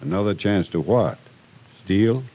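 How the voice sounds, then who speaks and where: A man's voice: lowish voice, lively intonation, unhurried, creaky, dark tone, husky, exaggerated voice, poor audio. An actor playing an exasperated, protective older man with an incredulous, scolding manner in an old-time radio soap opera.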